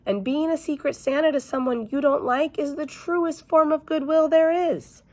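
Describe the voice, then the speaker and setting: A woman's voice: high-pitched, a little mellow, pitched above the performer's own voice, lightly acted. A woman voicing a patient, firm, kindly male schoolteacher in a storybook, speaking in an instructive way. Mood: encouraging. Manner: measured, instructive.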